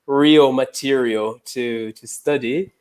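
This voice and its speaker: A man from Brazil hosts a live online English lesson in a relaxed, chatty way. His voice sits at a middle pitch, and his speech is full of small uh and um fillers. He sounds young and easygoing. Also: slow pace. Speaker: English teacher.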